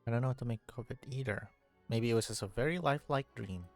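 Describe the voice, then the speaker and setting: Casting: male livestreamer, mid-pitched, casual running commentary and read-aloud game lines. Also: quick pace, gravelly, faint music behind. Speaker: game streamer.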